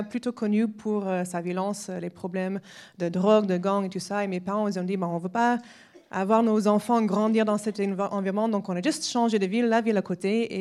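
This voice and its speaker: A woman's voice: mid-range voice, a little mellow. A woman in her forties from the United States with an American accent, giving a personal testimony at a church weekend in French with frequent hesitations. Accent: American English. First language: English. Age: 40s. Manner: halting, many hesitations, laughs lightly.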